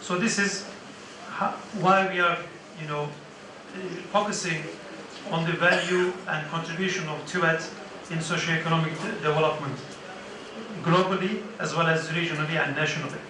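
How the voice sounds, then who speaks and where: A man giving formal remarks as guest speaker at a conference, halting often with fillers and restarts. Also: a little high, fairly even intonation, crowd noise. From Turkey. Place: large conference hall. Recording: echoey hall, distant mic.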